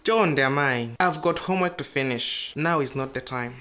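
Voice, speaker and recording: A woman's voice: very deep voice, moderate pace, fairly bright tone, slight background noise. A woman playing a guarded, troubled teenage son in a radio drama. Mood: defensive.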